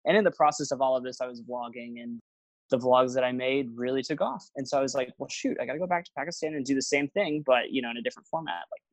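A man's voice: medium pitch, fast talker, no room sound, low-quality recording. Chatty young man on a dry mic. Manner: rambling storyteller, many fillers and restarts. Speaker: travel vlogger, guest. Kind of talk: casual interview chat.

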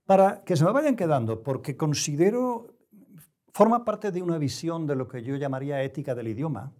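A middle-aged man who is a writer, talking reflectively in an interview, choosing his words with pauses and restarts. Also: on the high side, lively intonation, darkish tone. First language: Spanish. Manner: measured, searching for words, frequent restarts. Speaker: novelist, interview guest.

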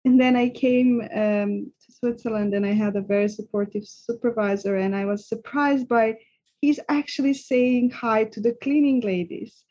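A podcast host from Serbia, a woman with a highish, mellow voice. She speaks softly and attentively and asks thoughtful questions. The recording sounds dull and lo-fi. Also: steady tone, normal speed. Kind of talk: casual interview chat.

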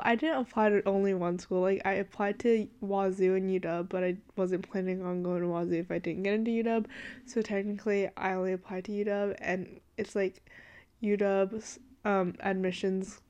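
A woman's voice: mid-range voice, steady tone, moderate pace, darkish tone. A young woman from Washington state hosting a podcast, chatting casually about her life with lots of "like" and "literally", a little congested from allergies. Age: young adult. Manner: rambling, full of "like" and "literally", with giggles. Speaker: podcast host. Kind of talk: casual chat. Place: her dark bedroom at night.

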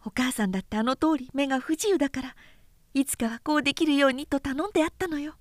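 A woman's voice: sits high, fast, no room sound, clean studio sound, natural voice. A woman voicing a devoted, spirited young woman of old Edo in an audiobook, with girlish feminine speech. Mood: happy. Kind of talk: audiobook character reading.

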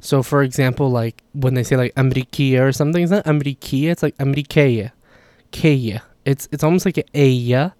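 A man's voice: mid-range voice, normal speed, a little mellow, dry recording. Chatty man explaining, dry close sound. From Levantine, Palestinian or Jordanian Arabic background. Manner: chatty, self-deprecating, apologetic asides. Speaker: language educator. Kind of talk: casual explanatory monologue.

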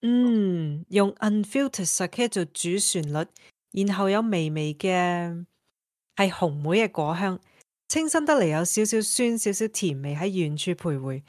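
A woman's voice: fairly low pitch, animated, measured pace, a little mellow, no room sound, studio quality. An actress playing a sassy, independent single woman in her thirties in an audio drama, teasing and sarcastic. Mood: teasing, frank. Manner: playful, sarcastic retorts. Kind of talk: Cantonese audio drama acting.

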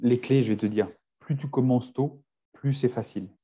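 A man's voice: medium pitch, fairly even intonation, normal speed, warm tone, slightly rough. A man in his forties, a fitness coach, speaking as a guest in a casual podcast chat, often restarting his sentences. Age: 40s. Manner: hesitant, many restarts and fillers.

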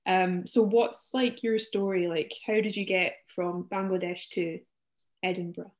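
A woman's voice: on the low side, fairly even intonation, measured pace. A woman hosting a casual podcast chat, peppering her questions with lots of "like". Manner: filler-heavy, lots of "like". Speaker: podcast host.

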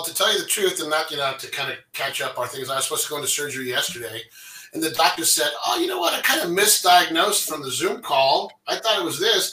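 Man sounding far off, sharp bright voice. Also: on the high side. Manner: dry joking, tells stories with quoted dialogue. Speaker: podcast guest co-host. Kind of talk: casual chat. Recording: roomy and distant from the mic.

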